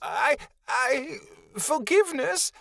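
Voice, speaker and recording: A man's voice: very high voice, sing-song, slow, gravelly, fairly bright tone, pitched above the performer's own voice, full character voice. A man voicing a terrified elder male fairy who stammers and whimpers as he pleads.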